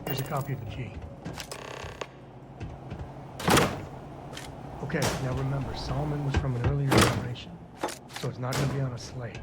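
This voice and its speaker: An actor playing a gruff, guarded, weary space cowboy in a video game, talking in a casual, grudging way. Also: male voice, mid-range voice, steady tone, quick pace, heavy background noise, close to an everyday voice. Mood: businesslike. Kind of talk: video game dialogue acting.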